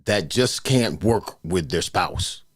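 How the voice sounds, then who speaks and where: Loud, animated man hosting a studio chat. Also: deep voice, slow pace, no room sound. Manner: loud, animated, playful and talkative. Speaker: podcast host. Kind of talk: casual interview chat. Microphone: studio mic.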